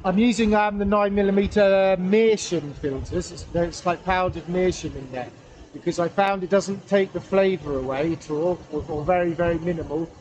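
A man's voice: high voice, moderate pace, a little mellow. A middle-aged man chatting casually for an outdoor vlog, rambling hesitantly and correcting himself as he goes. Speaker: pipe-smoking vlogger. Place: outdoors in a wooded valley. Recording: outdoor ambient noise, camera at a distance.

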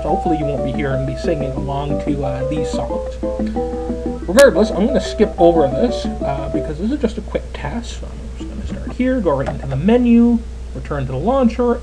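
A man's voice: a little high, big pitch swings, fairly quick, over loud music, poor audio. A Canadian man in his twenties giving casual, rambling gameplay commentary as a let's player. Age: 20s. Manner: chatty, rambling, lots of fillers.

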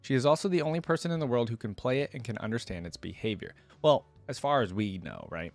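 A man's voice: medium pitch, animated, quick pace, creaky, darkish tone, soft background music. A man in his twenties giving casual reaction commentary, musing aloud as he reads web text at a close desk microphone. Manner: musing, thinks aloud between read passages. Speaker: reaction video host. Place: indoor room at a gaming desk. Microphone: desk microphone close in front, headset on.

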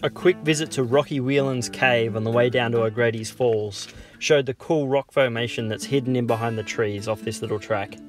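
Calm man narrating a hike. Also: mid-range voice, moderate pace. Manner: calm, steady voice-over. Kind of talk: scripted travel narration. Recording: voice-over with guitar music behind.

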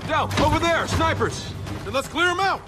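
A man's voice: very high-pitched, animated, moderate pace. A man playing a brash, decisive young squad leader in a military action game, terse and commanding. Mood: urgent shouting. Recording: loud game music and gunfire behind.

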